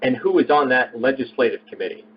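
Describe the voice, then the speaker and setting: A man's voice: mid-range voice, animated, quick pace, slightly rough. A man calling in to a conference call, asking polite, hesitant questions. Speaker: caller. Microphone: phone on a conference call. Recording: muffled distant phone-line audio.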